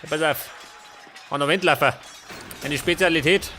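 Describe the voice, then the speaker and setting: A man's voice: on the high side, moderate pace, very noisy. A man with a Bavarian accent giving casual let's play commentary, often muttering questions to himself. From Bavaria, Germany. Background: game music.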